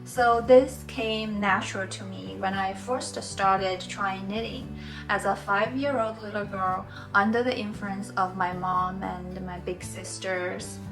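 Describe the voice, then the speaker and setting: A woman in her forties, born in China and a native Mandarin speaker, hosting a knitting tutorial in a gentle, earnest and encouraging way. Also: mid-range voice, steady tone, slow pace, music in the background, rough recording. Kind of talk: friendly instructional talk.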